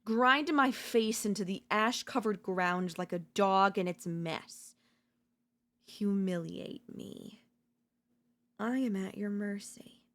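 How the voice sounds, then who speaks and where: A woman voice-acting an obsessive, unhinged yandere in a dark ASMR audio roleplay, theatrical and taunting, mocking and seductive. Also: mid-range voice, slow. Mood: taunting, demanding. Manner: theatrical, taunting, swinging between intimate murmurs and intense outbursts.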